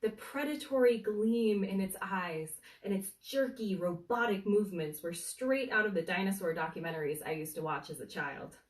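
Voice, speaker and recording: A woman reads a humorous story aloud as a storyteller, in a deliberate, dramatic way with suspenseful pauses. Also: mid-range voice. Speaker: bookseller storyteller reading aloud. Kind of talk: animated bedtime story reading of a humorous book. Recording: roomy, echoey, distant from the mic.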